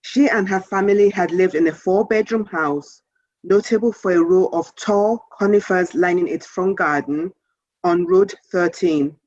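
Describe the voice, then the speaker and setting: A woman author reading a children's story aloud in a measured, expressive storytelling manner. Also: on the low side, no room sound, lo-fi sound.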